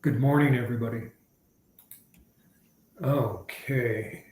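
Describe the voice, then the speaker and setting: A man from Tennessee giving a webinar presentation over a video call, measured, with many fillers and restarts. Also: medium pitch, fairly even intonation, darkish tone, roomy sound.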